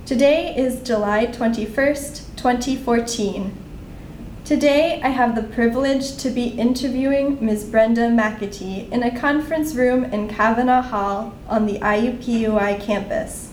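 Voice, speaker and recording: A young woman, a graduate student, reading a formal oral history introduction and then asking interview questions in a measured way. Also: darkish tone. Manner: measured, reads the consent script carefully. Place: conference room. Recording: echoey room with faint rumble.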